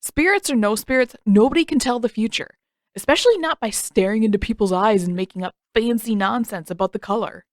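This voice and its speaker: A woman's voice: on the high side, fairly quick, no echo, close to an everyday voice. Snarky teen older brother for an audiobook, played by a woman, defensive and emphatic, close dry sound. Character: teenage boy, sarcastic indignant big brother.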